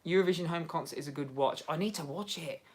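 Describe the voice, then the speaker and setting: A man with an English Midlands accent hosting a casual livestream from home, chatting excitably and laughing often as he answers viewers. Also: on the high side, quick pace. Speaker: livestream host. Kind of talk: casual livestream chat reading viewer comments.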